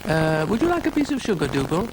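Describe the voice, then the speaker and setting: A man plays a friendly little girl from a storybook in a raised, lilting voice. She sounds earnest, and there is clear noise behind her. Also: a little high, sing-song, fast talker, voice pushed up.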